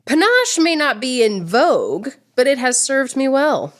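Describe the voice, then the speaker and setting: A proud, witty swordswoman poet of radio theatre, played by a woman. Her high voice swings widely in pitch and takes its time, savouring each mocking turn of verse with a theatrical swagger. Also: big pitch swings, measured pace. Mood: proud, sardonic. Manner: declamatory, mocking, precise wordplay.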